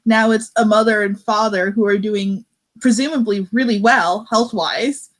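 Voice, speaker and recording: Woman w a medium pitched voice, chatting opinions on books, super conversational. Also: steady tone, moderate pace. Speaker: book discussion panelist. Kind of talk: casual book discussion chat.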